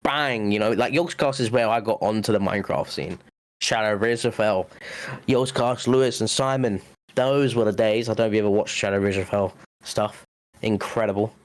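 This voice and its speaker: A man's voice: fairly low pitch, normal speed. A young man from the UK co-hosting a casual podcast, chatty and nostalgic, swearing now and then and acting out his own reactions. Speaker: podcast co-host. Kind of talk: casual chat.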